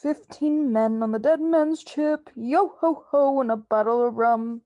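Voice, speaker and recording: Gruff old male pirate captain, played by a woman, radio drama: mellow dark tone, unhurried, barking sailor slang. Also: on the high side, natural voice. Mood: rowdy. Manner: nautical slang, barked orders. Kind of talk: amateur radio drama acting.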